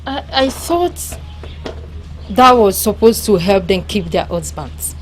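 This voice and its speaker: An actress playing a naive young daughter, puzzled and hesitant in a film drama. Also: female voice, mid-range voice, expressive, slow, faint music behind. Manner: hesitant, questioning.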